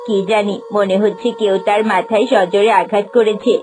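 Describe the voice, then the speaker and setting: A woman's voice: mid-range voice, flat delivery, moderate pace, a little mellow, soft background music, close to an everyday voice. Woman voicing a caring river plant spirit. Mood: urgent. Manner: urgent, caring. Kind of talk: Bengali cartoon dubbing.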